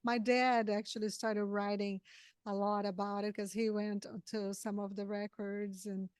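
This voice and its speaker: A woman from Brazil, Portuguese her first language, hosting an interview over a video call and speaking in halting phrases with frequent restarts. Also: medium pitch, level tone, a little mellow, clean tone, dry recording, clean studio sound. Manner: halting, many restarts and fillers. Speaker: podcast host. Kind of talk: casual interview chat.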